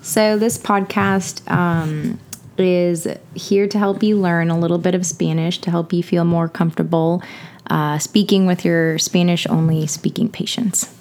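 A woman's voice: on the low side, fairly even intonation, measured pace, a little mellow. A young woman from Texas with a Texan accent, co-hosting a casual podcast in a chatty, joking way. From Houston, Texas. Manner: chatty, joking, laughs often. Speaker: podcast co-host, medical applicant.

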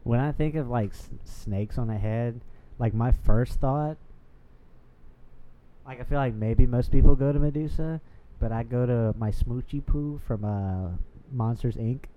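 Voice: mid-range voice, a little slow. A young adult chatting casually and loosely, with plenty of filler words. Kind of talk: casual chat. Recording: slightly dull, faint background noise.

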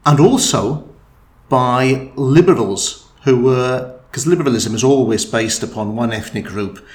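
A man in a conversational discussion, thinking aloud with frequent restarts and fillers. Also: mid-range voice. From Wales. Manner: thoughtful, halting, many restarts and fillers. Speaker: interviewee.

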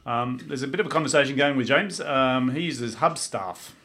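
A man's voice: mid-range voice, normal speed, a bit bright. A man hosting a webinar, talking in an informal, discursive and conversational way. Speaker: host of online panel.

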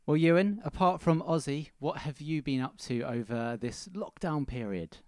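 A man's voice: a little high, moderate pace, a little mellow, no echo, clean studio sound. A man co-hosting a football podcast in a casual, chatty style. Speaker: podcast co-host.

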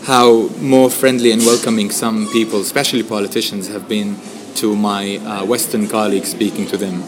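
A young man from Syria speaks English with a Syrian Arabic accent, his voice sitting a little low. He talks in a measured, halting way, pausing often to choose his words. The recording carries clear background noise. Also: flat delivery. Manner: measured, many hesitations. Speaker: journalist guest. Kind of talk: conversational interview.

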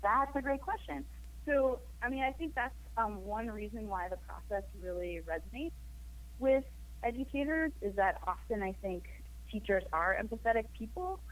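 A woman guest, hoarse from a cold, explaining her work in an upbeat way. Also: fairly high pitch, lively intonation, moderate pace, rough recording. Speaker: program manager, guest. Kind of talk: phone interview.

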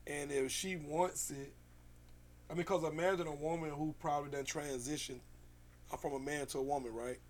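A man with a raspy voice telling a story in casual, slangy podcast chat, animated and swearing freely. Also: a little high, moderate pace, a little hiss or hum.

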